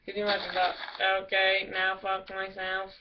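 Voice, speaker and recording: A woman's voice: medium pitch, completely flat delivery, normal speed. A woman giving rambling, crude and giggly commentary while playing a video game. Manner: giggly, crude, silly and meandering. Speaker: gamer. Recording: lo-fi with loud music behind.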